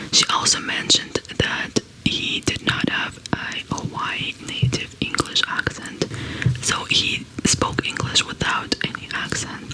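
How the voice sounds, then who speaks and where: A woman in her thirties tells a true crime story entirely in a soft, breathy whisper, unhurried and deliberate. She crochets as she talks, sitting close to a large studio microphone in a small room at home. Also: low-quality recording. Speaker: ASMR host. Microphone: large studio condenser mic close to her face.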